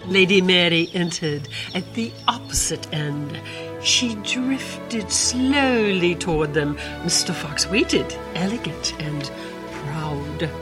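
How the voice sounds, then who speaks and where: Slow, theatrical woman narrator over music. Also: on the low side, lively intonation. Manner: theatrical, suspenseful delivery. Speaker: choral narrator in radio drama. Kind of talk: dramatic scripted fairy-tale narration. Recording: music bed under voice.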